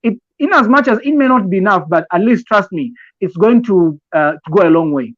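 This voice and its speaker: Higher-voiced Zambian man explaining haltingly. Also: lively intonation, dry recording, highs cut off. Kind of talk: conversational advice talk with personal examples.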